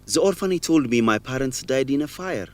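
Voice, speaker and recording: An actor playing a guilt-ridden young man in an educational radio drama, speaking earnestly and haltingly with emotion. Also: medium pitch, animated, no room sound, close to an everyday voice. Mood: ashamed confessing. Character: guilt-ridden young man, former orphan, confessing a shameful secret.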